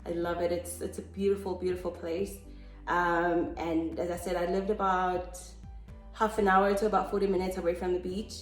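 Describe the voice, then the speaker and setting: A chatty South African woman and mother, hosting a casual family Q&A and pausing often with ums. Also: fairly low pitch, level tone, echoey room, soft background music, poor audio. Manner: chatty and hesitant, with frequent ums.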